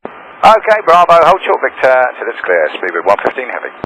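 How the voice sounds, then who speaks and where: A man's voice: mid-range voice, wildly swooping pitch, fairly quick, a bit bright. A male airline pilot makes radio calls to ground control. His mid-range voice moves up and down a lot, over a faintly noisy cockpit link. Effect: aviation radio.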